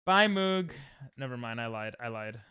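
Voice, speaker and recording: A young man with a New York accent, streaming a game and chatting casually with friends, joking and getting excitable, often trailing off mid-sentence. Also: medium pitch, normal speed, clear, resonant tone, no echo. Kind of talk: casual gaming stream chat.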